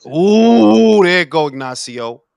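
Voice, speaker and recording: A man hosting a casual boxing talk show, animated and slangy, calling everyone bro. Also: high voice, slow, vocal fry, smooth, no echo, studio quality. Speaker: podcast host.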